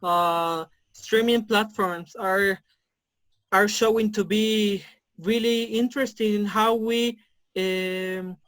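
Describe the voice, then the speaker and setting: A man in his twenties from Mexico, a native Spanish speaker with a Mexican Spanish accent, answering interview questions haltingly with many fillers. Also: sits high, fairly even intonation, a bit bright. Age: 20s. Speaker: interview guest. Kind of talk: casual video interview.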